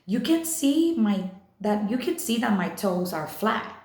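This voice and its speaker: An earnest young schoolgirl from a storybook, acted out by a woman reader. She is friendly but quick to take offence, and she speaks in an animated, insistent way. The room adds a clear echo. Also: mid-range voice, voice pushed up. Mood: indignant.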